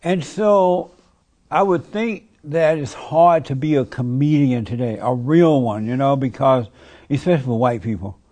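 A man's voice: medium pitch, a little slow, warm tone. A man hosting a casual interview, asking blunt, plain questions and giving short affirmations. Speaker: interviewer, host.

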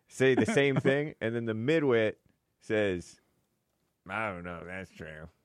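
Dry, skeptical low-voiced man. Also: slow pace, smooth, dry recording. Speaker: co-host. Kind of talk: casual chat.

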